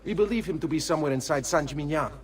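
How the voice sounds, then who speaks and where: An actor playing an earnest informant in a video game, reporting in a businesslike manner. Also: male voice, fairly high pitch, rapid-fire, close to an everyday voice. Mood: concerned. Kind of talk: dramatic video game acting.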